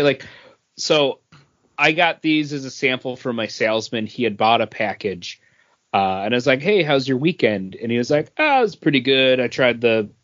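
A man co-hosting a podcast, telling a casual anecdote in an amused, halting way. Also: mid-range voice, highs cut off. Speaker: podcast co-host.

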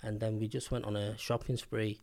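Mancunian man in his fifties, low voice, rambling guest storyteller with blunt slang. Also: level tone, a little fast, darkish tone. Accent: Mancunian English. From Manchester, England. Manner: chatty, hesitant with many ums, blunt slang. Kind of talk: casual storytelling interview.

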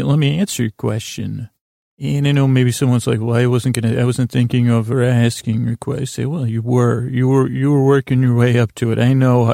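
A man with a heavily creaky, gravelly voice at a middling pitch delivers a meandering bedtime monologue. He hesitates, doubles back and repeats words as he talks the listener toward sleep. The sound is close and dry. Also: mellow, dry recording. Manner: meandering, halting, self-interrupting, repeats words. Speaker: podcast host.

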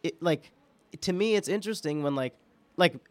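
A man from New York with an American accent, guest-hosting a casual podcast and thinking aloud haltingly, repeating words as he goes. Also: a little high, dry recording. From New York, United States. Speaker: podcast guest host and producer. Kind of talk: casual chat.

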